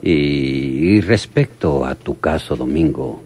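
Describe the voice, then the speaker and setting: An actor in a radionovela playing a methodical, steady police lieutenant with a deliberate, authoritative manner. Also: male voice, a bass voice, slightly rough, exaggerated voice. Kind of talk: old radionovela acting.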